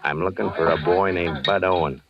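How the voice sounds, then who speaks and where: Man searching for a lost boy, low-pitched, probing, 1940s radio drama, muffled recording. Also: fairly even intonation, a little fast, crowd noise. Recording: dull vintage radio recording.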